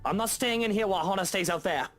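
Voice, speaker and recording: A man's voice: high-pitched, quick pace, a bit bright, voice pushed up. A man voicing a stubborn, paranoid, defiant young master of a mansion in a game story. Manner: defiant, demanding. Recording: music under the voice.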